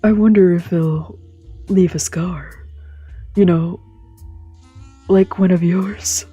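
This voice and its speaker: A woman's voice: lowish voice, fairly even intonation, slow, dark tone, breathy edge, highs cut off, soft background music. Woman reading as an eager, sly young man. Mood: eager, coy.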